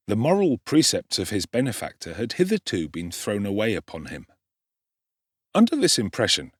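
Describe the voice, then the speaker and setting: Low-voiced, expressive English narrator, male. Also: slightly rough, dry recording, clean studio sound. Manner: measured, articulate, expressive. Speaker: audiobook narrator, actor. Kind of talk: scripted literary narration. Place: dry, close studio-like recording.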